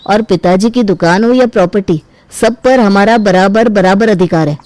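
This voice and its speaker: A woman's voice: medium pitch, moderate pace, darkish tone, no room sound, close to an everyday voice. A woman voicing a self-interested younger brother who argues and complains in a cartoon family story. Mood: demanding. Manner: argumentative, complaining. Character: self-interested younger brother demanding his inheritance share. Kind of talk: acted dialogue in a Hindi cartoon family story.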